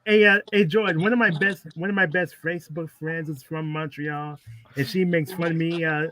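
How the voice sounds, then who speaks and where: A forty-year-old man chatting casually on a live stream in a halting, stop-start way. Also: fairly high pitch, fairly even intonation. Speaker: wrestling show panelist. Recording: faint background noise and clicks.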